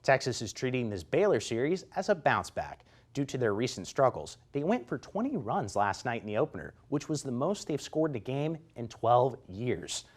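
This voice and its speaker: An American man delivers a scripted sportscast as a reporter. His voice sits at a medium pitch, and he calls highlights with energy, in a play-by-play manner. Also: big pitch swings, moderate pace.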